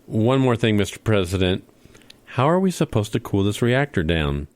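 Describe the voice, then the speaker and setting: A man's voice: fairly low pitch, big pitch swings, moderate pace, dry recording. A man playing an eager, bumbling middle-aged dad who hosts a family show in a comedy radio play, earnest and a bit hesitant. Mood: hesitant, polite.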